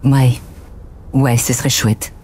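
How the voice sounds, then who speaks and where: A woman's voice: very deep voice, little pitch movement, slow pace, warm tone, a little hiss or hum. A woman playing a brash, defensive, sarcastic pregnant woman in an audio drama, blunt and colloquial. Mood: softened. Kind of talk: audio fiction dialogue. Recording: music behind the voice.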